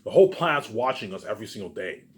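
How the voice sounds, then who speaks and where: Fast-talking man in an emphatic, repetitive ramble. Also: big pitch swings, noisy background. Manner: repetitive, circling back to same phrases; emphatic, swinging between loud bursts and low muttered asides. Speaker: online video maker. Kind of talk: rambling unscripted monologue to viewers.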